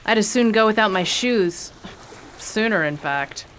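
Brash working-class radio-play woman, actress, mid-pitched, blunt and slangy, prickly temper, slight background noise. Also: animated, unhurried, a bit bright. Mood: wry, softening. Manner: slangy, blunt, ungrammatical speech. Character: brash, hard-edged young working-class woman, pistol-carrying and prickly. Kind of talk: radio drama acting.

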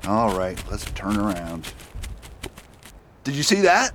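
A man's voice: fairly low pitch, sing-song, slow, noisy background. A man playing an earnest, stubborn marshal. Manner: earnest, insistent. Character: determined male US marshal, stubborn and dutiful. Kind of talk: amateur radio drama acting.

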